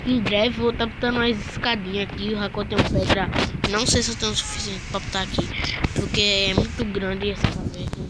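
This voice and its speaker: A man's voice: high voice, steady tone, quick pace. Brazilian guy with a cold, windy mic. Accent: Brazilian Portuguese. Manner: rambling, apologetic, addresses viewers as "galera". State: has a cold. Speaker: gameplay youtuber. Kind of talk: casual game commentary. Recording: loud wind noise on the mic.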